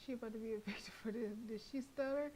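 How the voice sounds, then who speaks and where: A young woman streaming a horror visual novel, reading the narration aloud and breaking into blunt, profane, animated reactions with frequent laughter. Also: fairly high pitch, fast talker, a little hiss or hum, rough recording.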